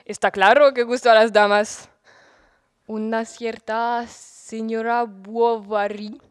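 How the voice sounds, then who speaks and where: A woman's voice: on the high side, little pitch movement, slow, clear, resonant tone, no room sound, studio quality. A woman acting the part of a vain, lovesick middle-aged Spanish knight, pompous and boastful, in a student radio comedy.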